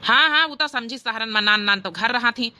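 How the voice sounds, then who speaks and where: A woman voicing a practical, brisk village elder brother in a homemade comedy cartoon, with an Awadhi accent and a matter-of-fact, hurrying manner. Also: fairly high pitch, bright, forceful tone, pitched below the performer's own voice. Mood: agreeable.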